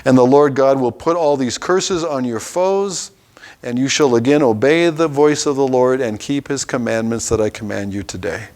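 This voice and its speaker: A man's voice: mid-range voice, moderate pace, darkish tone. A man teaching a Bible class, reading scripture passages aloud and stopping to explain them in an everyday way.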